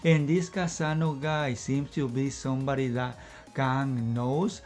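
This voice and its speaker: A man of middle pitch talks through an episode review off the cuff, slightly winded, circling back on himself and padding gaps with filler phrases. Faint music sits under his voice. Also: steady tone, darkish tone. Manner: rambling, fills pauses with "you know" and "I guess you can say". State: slightly out of breath. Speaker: anime reviewer. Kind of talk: casual unscripted review in one take.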